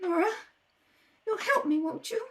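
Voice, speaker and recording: A woman's voice: very high-pitched, animated, unhurried, slight background noise. A woman playing a distraught, pleading young woman suspected of murder in an old-time radio drama, with frantic repetitions. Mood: panicked, pleading. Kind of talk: 1930s radio drama acting.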